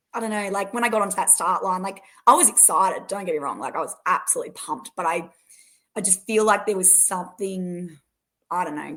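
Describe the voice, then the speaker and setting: Hoarse, croaky Australian woman. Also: mid-range voice, fairly bright tone. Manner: chatty, rambling, many fillers and restarts. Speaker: race winner guest. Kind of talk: casual podcast interview.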